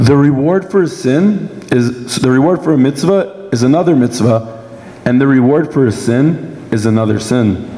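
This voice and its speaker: Young guy from brooklyn doing a prepared speech w a sore throat, soft mellow tone, big echoey hall. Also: medium pitch. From Brooklyn, New York, United States. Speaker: guest speaker giving a personal testimony. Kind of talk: prepared speech at a dinner event. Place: large indoor event hall. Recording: echoey hall with long reverb.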